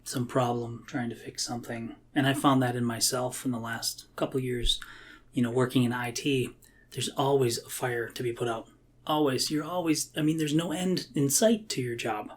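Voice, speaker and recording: Husky-voiced man in casual reflective chat. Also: medium pitch, steady tone, normal speed. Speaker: IT worker.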